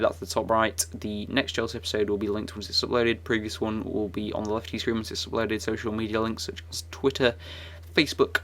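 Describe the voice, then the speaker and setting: Young man, gaming commentary, low voice, monotone, gravelly and croaky, rambling. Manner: rambling, many fillers and restarts. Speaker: gaming YouTuber. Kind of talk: casual game commentary.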